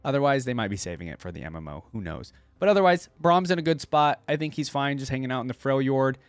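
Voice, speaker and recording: Casting: male reaction host, mid-pitched, musing and conversational, very dry sound with faint music underneath. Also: lively intonation, fast, clear, resonant tone, no room sound. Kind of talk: casual off-the-cuff commentary.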